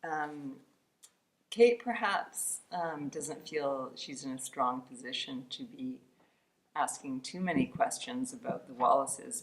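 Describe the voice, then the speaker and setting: A woman author giving an informal spoken introduction to her book, with hesitant, halting phrasing. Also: a bass voice, low-quality recording. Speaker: author presenting her novel.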